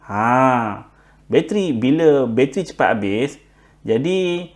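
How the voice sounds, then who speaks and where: A man with a Malaysian accent explaining tips informally and unscripted, pausing on fillers, repeating himself and mixing in English words. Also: medium pitch, expressive. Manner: halting, frequent filler words and repetition; code-switches with English words. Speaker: presenter giving buying tips.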